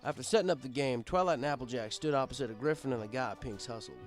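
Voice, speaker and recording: A man narrates a story in the first person. His voice has a middle pitch for a man, and quiet music runs underneath his scripted reading. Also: quick pace.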